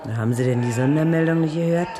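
An actor in a German radio drama playing a dutiful, muttering Berlin postal worker in a stairwell. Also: male voice, a little high, fast talker, over music, lightly acted. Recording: echoey stairwell reverb, background noise.

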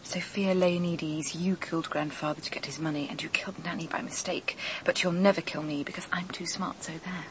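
An actress playing an intense, determined young woman in love in a radio drama, earnest and intimate, sometimes hushed. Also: on the low side, fairly even intonation, a little fast, hardly any pauses, background noise, lightly acted. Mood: subdued, uneasy.

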